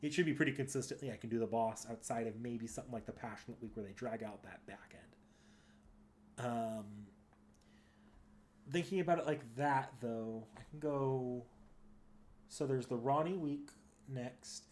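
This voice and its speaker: A man's voice: mid-range voice, a little slow, long pauses, darkish tone, a little hiss or hum. A man streaming games, chatting casually and unscripted, hesitant and often correcting himself as he thinks aloud. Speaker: live game streamer.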